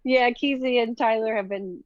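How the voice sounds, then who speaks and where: A woman's voice: on the high side, moderate pace, no room sound, muffled recording. A woman from Minnesota with a Minnesota accent, chatting casually in an interview with many fillers and easy laughs. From Minnesota, USA. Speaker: professional disc golfer, guest.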